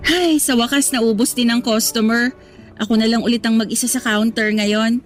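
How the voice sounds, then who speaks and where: A woman's voice: a little high, fairly even intonation, normal speed, natural voice. A woman playing a young cashier in a Tagalog radio drama, deferential and hesitant in manner. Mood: thinking aloud. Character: young female cashier, eager, polite and nervous. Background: music.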